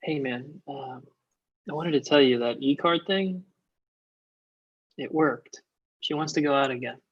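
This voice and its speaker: Muffled comedy read: man as sheepish young guy, halting and slow. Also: mid-range voice, breathy edge. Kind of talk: comedy script table read.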